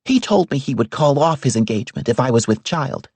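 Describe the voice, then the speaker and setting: A man's voice: mid-range voice, expressive, fairly quick, a little mellow, breathy edge, dry recording, pitched above the performer's own voice. A man reading aloud, voicing a distraught pregnant young woman who pleads heatedly with her family. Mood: heated, pleading.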